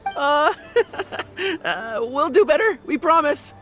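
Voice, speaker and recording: High-pitched, nervous young gang lad. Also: slow, faint music behind, exaggerated voice. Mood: flustered. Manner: stammering, pleading, excitable. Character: nervous, cowardly young male gang underling. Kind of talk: scripted video game voice acting.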